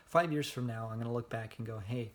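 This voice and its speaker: A young man talking casually into his phone for a personal vlog, rambling in a monotone with lots of fillers. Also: medium pitch, fast talker. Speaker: vlogger. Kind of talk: casual self-recorded vlog. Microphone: phone held at arm's length.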